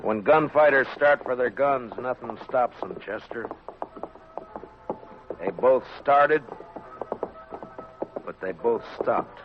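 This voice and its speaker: An American actor playing a stern, world-weary, plainspoken frontier marshal in 1950s radio drama style, terse and deliberate. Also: male voice, mid-range voice, noisy background, natural voice. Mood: terse, resolute. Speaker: lead actor and narrator of a radio western. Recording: dull old radio recording with music.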